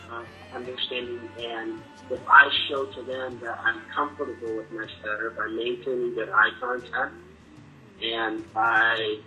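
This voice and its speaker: Young man with a stutter, sharp bright timbre, earnest personal testimony. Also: mid-range voice, poor audio. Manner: stutters, with repeated sounds and restarts. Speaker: person sharing his experience.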